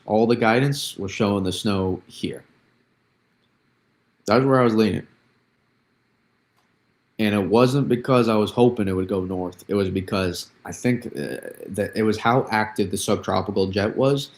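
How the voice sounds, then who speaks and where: A man with vocal fry giving casual live weather commentary, often halting and restarting his words as he thinks. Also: on the low side, a little mellow. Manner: halting, repeats and restarts words mid-thought. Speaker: livestream weather analyst.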